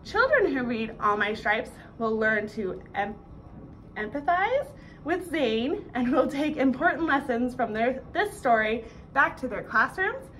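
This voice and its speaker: A young woman reads a children's book aloud in a fairly high voice. She is recorded in a small indoor room with faint noise behind her. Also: big pitch swings, measured pace, a bit bright, low-quality recording. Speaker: school staff member presenting a read-aloud.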